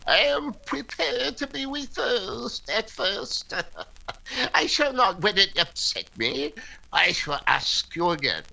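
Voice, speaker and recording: Stuffy pompous vicar suitor, slow n formal, swoopy bright voice, old radio comedy. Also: male voice, sits high, big pitch swings, creaky, heavily acted, low-quality recording. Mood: pompous, earnest. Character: pompous, fussy, self-important English clergyman suitor. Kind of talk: period radio comedy acting.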